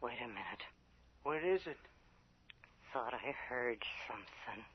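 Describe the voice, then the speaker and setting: An actor playing a wary, suspicious accomplice speaking in hushed tones over a telephone line in a radio drama. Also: male voice, mid-range voice, animated, unhurried, slightly rough. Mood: wary, impatient. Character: wary accomplice questioning a secret murder plan. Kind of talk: radio horror drama acting. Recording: muffled radio broadcast, overheard phone line.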